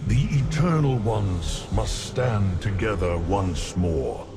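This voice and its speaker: Very deep, slow ancient death lord. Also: male voice, expressive, noisy background, full character voice. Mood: grave foreboding. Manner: grave, measured, portentous. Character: ancient solemn immortal male lord of death. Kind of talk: video game cinematic acting.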